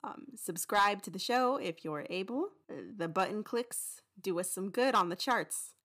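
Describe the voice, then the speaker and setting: A woman's voice: medium pitch, animated, moderate pace, fairly bright tone. A young woman hosting a podcast, making casual announcements in a chatty, informal way. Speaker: podcast host and writer. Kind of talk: casual mid-episode announcement.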